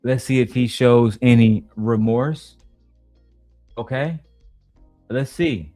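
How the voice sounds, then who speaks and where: A man's voice: medium pitch, slow pace, warm tone, no echo, faint music behind. A man streaming, reacting to video clips with blunt, profane, mocking commentary.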